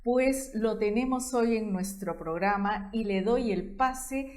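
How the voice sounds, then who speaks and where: A woman host, speaking in a measured way and filling with "diríamos". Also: fairly high pitch. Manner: warm-thanking, measured, uses "diríamos". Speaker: program host. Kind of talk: slow hosting and interviewing.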